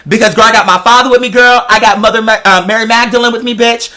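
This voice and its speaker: A man with an American accent telling a story as a casual, animated rant, sassy and confrontational, with emphatic slang and swearing. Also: very high-pitched, fast talker.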